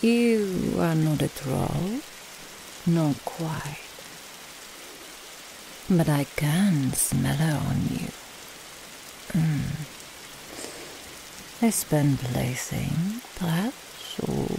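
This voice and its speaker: Woman as a very slow-spoken French villainess. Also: deep voice, animated, gravelly, noisy background, exaggerated voice. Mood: cold menace. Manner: slow, deliberate, mocking. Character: taunting, menacing French vampire thrall, woman. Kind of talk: ASMR audio roleplay.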